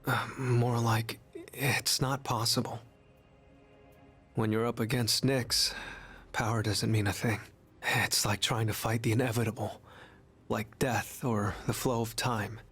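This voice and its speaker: A gentle young harbinger of death for a game. His mid-pitched voice is soft and husky, with music behind it. He speaks with grave resignation and quiet pleading. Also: flat delivery, unhurried. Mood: resigned, gentle. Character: gentle, sorrowful young male harbinger of death in human form. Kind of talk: English video game voice acting.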